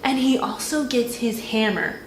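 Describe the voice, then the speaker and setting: A woman's voice: fairly high pitch, moderate pace. A woman chatting casually and animatedly as she reviews a film with a co-host, often giggly as she retells things. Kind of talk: casual unscripted film discussion. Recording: echoey room, noisy background.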